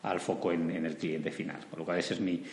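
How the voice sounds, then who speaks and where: Enthusiastic middle-aged guest in echoey hall. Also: male voice, deep voice, flat delivery, brisk. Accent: Spanish, from Spain. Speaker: interview guest, customer experience executive and teacher. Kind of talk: conversational interview. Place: large event room, indoors.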